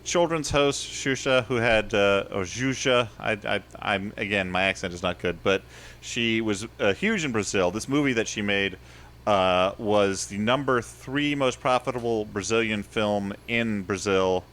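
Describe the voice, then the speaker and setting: A man's voice: fairly low pitch, a little slow, a bit bright. A man co-hosting a comedy podcast in casual chat, rambling and self-deprecating, often laughing at himself. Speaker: podcast co-host.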